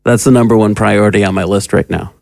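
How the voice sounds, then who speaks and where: A man's voice: fairly low pitch, fairly even intonation, fast, gravelly, a little mellow, dry recording, clean studio sound. An actor playing a calculating male agent in an audio drama, with a businesslike, clipped manner. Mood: businesslike, scheming. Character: crisp, calculating male agent reporting to a superior.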